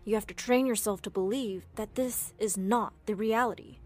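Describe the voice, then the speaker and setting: A woman voicing a patient male doctor who explains calmly. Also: medium pitch, moderate pace, soft background music, close to an everyday voice. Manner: calm, explanatory.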